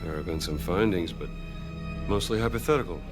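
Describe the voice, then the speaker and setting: Actor as American archaeologist hero for a game: deep voice, gruff, dry wit, few words. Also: male voice, a little fast, over music, close to an everyday voice. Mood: dry, thoughtful. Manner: laconic, dry. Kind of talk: video game cutscene acting.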